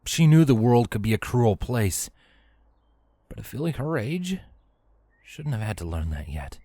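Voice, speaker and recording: A man's voice: medium pitch, very animated, a little slow, dark tone, dry recording. A man narrating a scripted story as its narrator, in a prose reading style.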